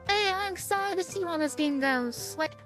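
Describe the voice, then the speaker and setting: A woman doing casual gameplay commentary on a visual novel, reading its text aloud with a halting, stumbling delivery and some swearing. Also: very high voice, a bit bright. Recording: music behind the voice. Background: music.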